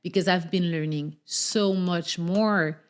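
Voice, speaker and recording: A woman's voice: on the low side, fairly even intonation, slow, clean tone. A woman hosting a podcast and interviewing a guest in a conversational way.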